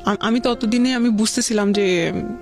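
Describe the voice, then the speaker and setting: A woman's voice: fairly high pitch, normal speed, gravelly, music in the background. A young woman with a Bangladeshi accent telling her personal story as a guest, hesitant and colloquial, often stammering and breaking off mid-word. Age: young adult.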